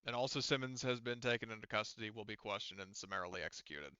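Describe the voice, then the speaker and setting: Male game master, clear and bright, rambling off the cuff, slightly dull close voice-chat sound. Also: fairly low pitch, no room sound. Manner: halting, rambling, repeats himself. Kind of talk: casual narrating of an online tabletop game. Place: online voice chat.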